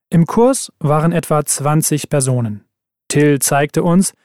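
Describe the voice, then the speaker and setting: A man with a slightly raised voice reading scripted texts with even, careful delivery. The recording is dry and close, with no room sound. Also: fairly high pitch, no room sound. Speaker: exam recording reader.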